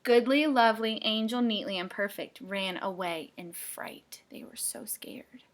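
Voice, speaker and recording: A woman reading a picture book aloud to children, playful and dramatic, with expressive narration. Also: medium pitch, measured pace. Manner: playful, dramatic, with hushed asides. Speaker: storybook reader for children.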